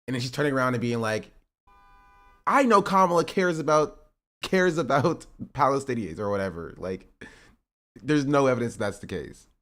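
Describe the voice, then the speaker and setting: A man's voice: medium pitch, animated, normal speed, long pauses, a bit bright. A man in his twenties streaming, rambling through casual political commentary with sarcastic asides and self-corrections. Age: 20s.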